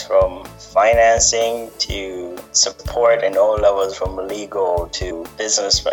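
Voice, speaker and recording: Ugandan-born young male guest, deep voice, unhurried, thoughtful and halting. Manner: thoughtful, with many fillers and restarts. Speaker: guest, social entrepreneur. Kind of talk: interview answers.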